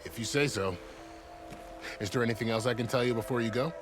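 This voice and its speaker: A man's voice: mid-range voice, quick pace, music in the background, close to an everyday voice. A man playing a friendly, dutiful gate commander in a fantasy frontier video game. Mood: helpful.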